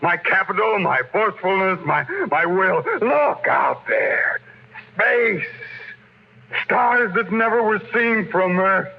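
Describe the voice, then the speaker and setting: Blustering tycoon, old muffled radio. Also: male voice, high-pitched, lively intonation, takes it slowly, creaky, full character voice. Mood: triumphant, grandiose. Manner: forceful, domineering, bombastic. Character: ruthless, blustering, power-hungry tycoon financing a spaceship. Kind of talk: 1950s radio drama acting. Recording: muffled, noisy 1950s radio recording.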